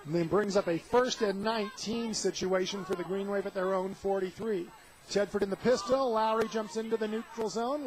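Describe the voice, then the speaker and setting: An American man calling live play-by-play of a football game for radio. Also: sits high. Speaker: play-by-play commentator. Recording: noisy outdoor stadium radio broadcast.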